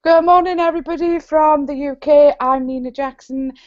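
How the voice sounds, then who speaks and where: Welsh woman, bubbly app demo, higher voice, dry muffled call sound. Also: steady tone, normal speed, darkish tone, dry recording. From South Wales. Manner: bubbly and enthusiastic. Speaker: author and trainer. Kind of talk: enthusiastic tech demo. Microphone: video call. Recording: dull lo-fi video call audio.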